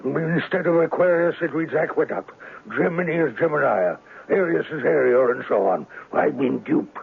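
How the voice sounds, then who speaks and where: An actor playing a huge, menacing crime boss in a radio drama, speaking formally and pompously in a gravelly, husky voice. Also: male voice, on the high side, moderate pace, exaggerated voice. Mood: outraged. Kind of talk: radio drama acting, gangster parody. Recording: lo-fi old radio broadcast.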